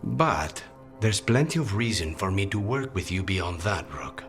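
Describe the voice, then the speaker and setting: An actor playing a weary, dry, demon-possessed assassin in a video game, low and breathy, speaking in a measured, understated way. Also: male voice, big pitch swings, over music, heavily acted. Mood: grim, vengeful. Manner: measured, understated, dryly menacing. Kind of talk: video game dialogue acting.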